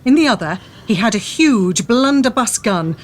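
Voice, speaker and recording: A woman's voice: fairly high pitch, expressive, moderate pace. A woman narrating a story from a script in a dramatic audio drama style.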